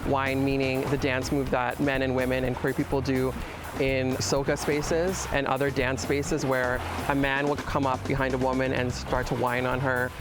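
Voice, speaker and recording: A man's voice: mid-range voice, level tone, normal speed. A man speaking reflectively as a documentary interviewee, explaining his personal experience. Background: music.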